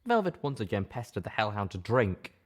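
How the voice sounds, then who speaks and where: Guy reading an audiobook, kinda low voice, animated delivery, first person story. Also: a little fast. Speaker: audiobook reader, narrator.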